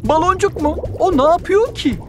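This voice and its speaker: A friendly male cartoon duck, eager and full of curiosity. The voice rises and falls in a very animated way, in the style of a dubbed children's show, with music behind it. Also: very high voice, normal speed. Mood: curious. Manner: welcoming, eager, wondering.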